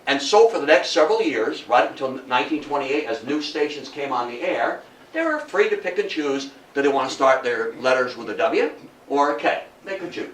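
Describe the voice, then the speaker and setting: A man's voice: mid-range voice, very animated, a bit bright. Older man lecturing in a roomy space. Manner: theatrical, emphatic, with dramatic pauses and rhetorical questions. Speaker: history lecturer. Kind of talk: public lecture with storytelling. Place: indoor talk room before an audience. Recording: roomy, distant mic, faint noise.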